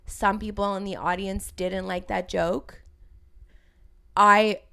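A woman's voice: medium pitch, little pitch movement, gravelly, bright, forceful tone, dry recording, clean studio sound. A young woman comedian co-hosting a podcast, chatting in a loose, joke-filled way with lots of likes. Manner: chatty, full of likes and quick jokes. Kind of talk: casual comedic chat.

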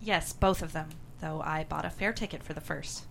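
A woman's voice: sits low, normal speed, gravelly, a little hiss or hum. Woman playing a bold, spirited young wife. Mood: polite, pointed. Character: bold, cheeky young married woman of the 1890s. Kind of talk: actor at a script table read.

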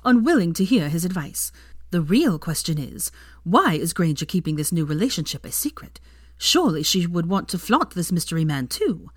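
A woman's voice: on the low side, no echo, studio quality. A woman voicing a gossipy, snide tabloid journalist reading out her exposé with mock concern and insinuation. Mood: speculative, catty. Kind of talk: scripted newspaper column read aloud.

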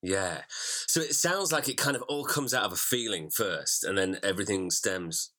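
A man's voice: deep voice, animated, moderate pace, sharp tone. A man hosting a podcast interview, asking long, hesitant questions full of fillers. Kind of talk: casual interview.

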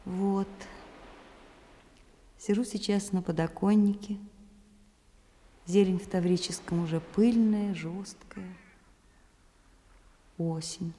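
A woman's voice: medium pitch, steady tone, takes it slowly, long pauses, warm tone, lightly acted. An actress playing a wistful, candid woman reading her letter in a radio play, intimate and reflective. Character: wistful, candid actress writing to a man she loves. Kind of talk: Russian radio play monologue. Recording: long reverb, faint background noise.

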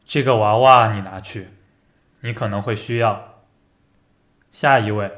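A man in an amateur radio drama plays an unfeeling, matter-of-fact doctor with a deadpan, curt manner. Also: lowish voice, a little slow. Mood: detached.

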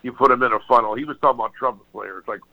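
An older man from Hawaii, a guitarist guest, telling his story in a relaxed, rambling way with restarts and apologies. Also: medium pitch, rapid-fire. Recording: dull lo-fi sound.

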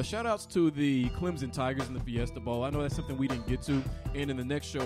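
A man talks quickly and eagerly as he co-hosts a sports podcast, running through his analysis. Music plays clearly beneath his voice. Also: medium pitch. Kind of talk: casual sports chat.

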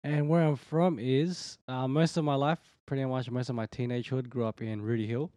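A man's voice: mid-range voice, fairly even intonation, fairly quick, mellow, no room sound. Laid-back young man. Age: 20s. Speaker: church leader, guest. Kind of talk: casual podcast chat. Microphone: podcast microphone.

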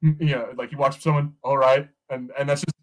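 A man's voice: mid-range voice, little pitch movement, fast talker, low-quality recording. An American man co-hosting a podcast, chatting casually and rambling as he rephrases his points in a review discussion. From United States. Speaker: podcast co-host. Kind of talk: casual film and TV review chat.

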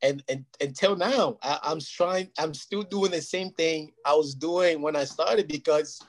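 A man's voice: a little high, a little slow. A man whose first language is Portuguese, talking earnestly and at length as an interview guest, often checking "you know what I mean". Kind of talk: casual interview chat.

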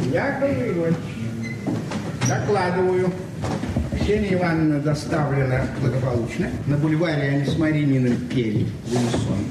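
An actor playing a jovial colleague in a radio drama, reporting in a playfully mock-formal way. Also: male voice, on the high side, distant mic, natural voice. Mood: jokingly official. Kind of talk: Soviet radio play acting. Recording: very noisy, echoey old radio recording.

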